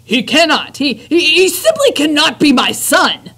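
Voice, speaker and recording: A woman voicing a pompous, panicky father mouse who shouts melodramatically. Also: sits high, big pitch swings, unhurried, pitched above the performer's own voice, full character voice. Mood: outraged.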